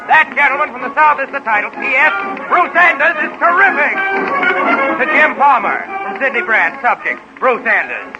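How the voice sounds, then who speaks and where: Shrill, bombastic studio boss. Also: male voice. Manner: barking, domineering, dictating telegrams. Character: bombastic, harried Hollywood studio boss, adult man, bossy. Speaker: actor in radio comedy. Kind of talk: 1930s radio comedy acting. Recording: muffled 1930s radio recording.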